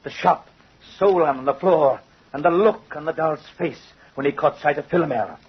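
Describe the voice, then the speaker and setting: A man's voice: fairly high pitch, slightly rough. An actor playing a guilt-ridden craftsman confessing to a judge, earnest and dramatic, in old-time radio style. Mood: agitated, horrified. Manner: dramatic, earnest, deliberate phrasing. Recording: muffled old radio recording.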